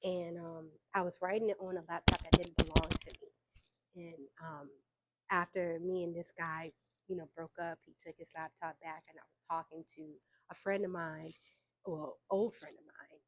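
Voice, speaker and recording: A young adult woman, telling her story as an interview guest in a casual, relaxed way with fillers and easy laughs. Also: lowish voice, moderate pace, rough recording. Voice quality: soft voice. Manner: relaxed, frequent fillers, laughs between points.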